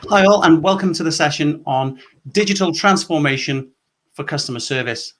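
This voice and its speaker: A man's voice: a little high, moderate pace, a bit bright, a little hiss or hum. Welsh-raised man giving a tech talk. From Wales. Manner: clear, structured, explanatory. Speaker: conference presenter. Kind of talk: presentation talk.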